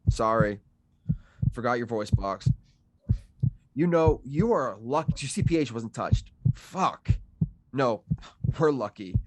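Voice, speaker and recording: A man's voice: medium pitch, expressive, slow, pauses often, slight background noise. A man playing a weary, sardonic middle-aged drifter cowboy in an acted screenplay read. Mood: rueful, chatty. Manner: sardonic, weary, rambling to his companion. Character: gruff middle-aged drifter cowboy, wry, talking to his robot buddy.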